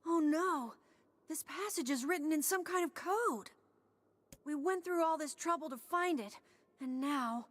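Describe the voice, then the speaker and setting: High-voiced earnest young boy. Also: a little slow, no echo. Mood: dismayed. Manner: earnest, polite. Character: gentle, earnest young boy of noble house. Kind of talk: video game voice acting. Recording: loud music over voice. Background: loud game music.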